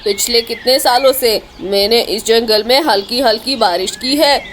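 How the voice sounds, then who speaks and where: A woman's voice: on the high side, normal speed, a bit bright, a little hiss or hum, natural voice. A woman voicing an emphatic talking character in a jungle cartoon story, speaking in exclamations. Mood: boastful. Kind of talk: Hindi cartoon story dialogue.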